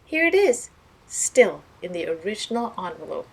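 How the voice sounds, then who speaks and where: A woman's voice: on the low side, sing-song, normal speed, gravelly, husky, heavily acted, poor audio. A woman voicing a hearty, proud male sea captain in a storybook, explaining things with emphasis. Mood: informative.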